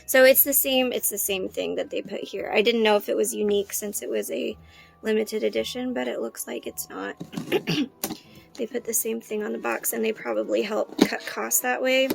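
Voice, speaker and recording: Woman, thirties, hoarse, gravelly vocal fry, chatty with fillers and restarts, casual unboxing talk. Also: on the high side, fairly even intonation, moderate pace, faint music behind. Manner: chatty, many ums and likes, restarts. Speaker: doll collector vlogger.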